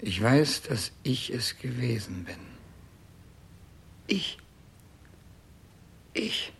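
Slow, husky dying king. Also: medium pitch, animated, fairly bright tone, heavily acted. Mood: dazed, fading. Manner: slow, halting, incantatory declamation. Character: dying king, bewildered, self-absorbed and grandiose. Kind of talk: 1960s German radio play acting.

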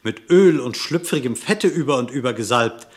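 A man narrating a verse fable in a radio play, in a measured storytelling manner. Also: mid-range voice, lively intonation.

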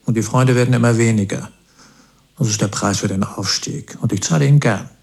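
Smug, boastful Moscow business boss. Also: male voice, fairly low pitch, brisk, creaky, a little mellow. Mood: cynical, menacing. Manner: boastful, self-assured, cynical. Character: smug, ruthless Moscow rock-business boss, self-made state official. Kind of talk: radio play monologue.

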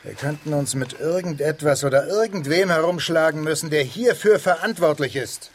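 An actor playing a composed, wary gentleman explorer in a radio drama. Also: a little high, normal speed, natural voice. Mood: grave. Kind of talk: German radio drama acting.

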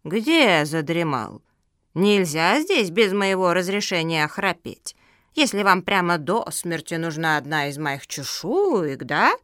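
A woman voicing a greedy, haughty old female turtle who drawls slowly and scornfully in a storybook reading. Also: fairly low pitch, expressive, a bit bright, no echo, studio quality. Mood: imperious, mocking.

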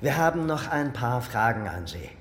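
Casting: probing, dry-toned investigator acted by a man, mid-pitched, reverberant stairwell-like sound, radio crime drama. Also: very animated, moderate pace, lightly acted. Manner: dry, pointed questioning. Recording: echoey hall, faint rumbling noise.

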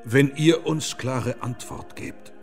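An actor in a German radio play as a stern investigator, questioning in an insistent, pointed way. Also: male voice, mid-range voice, slow pace, soft background music. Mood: probing. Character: stern, probing male investigator in historical drama.

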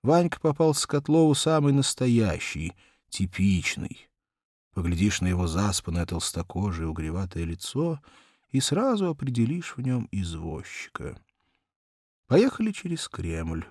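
Male audiobook narrator, expressive literary reading, measured delivery, lively intonation, close dry studio sound. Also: on the low side, dry recording.

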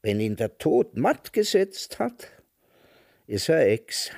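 A slow, sardonic old intellectual. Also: male voice, on the high side, sing-song, vocal fry, a little mellow, no room sound, clean studio sound, full character voice. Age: older. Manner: deliberate, ironic, literate. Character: sardonic, melancholy old coffeehouse intellectual, widowed and lonely. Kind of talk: radio drama acting.

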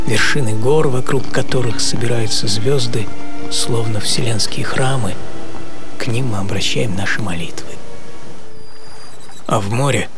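A man acting a hardy, serene seventy-year-old sailor in an audio play monologue, reflective and intimate, with savoring pauses. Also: mid-range voice, close to an everyday voice. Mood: contemplative. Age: 70s. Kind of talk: first-person dramatic monologue in an audio play. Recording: music behind the voice.

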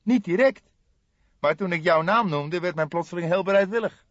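A man voicing an agitated, eager young police detective in an audiobook, with excitable, emphatic delivery. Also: high voice, normal speed, no room sound, highs cut off, voice pushed up, lightly acted. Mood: eager, reporting.

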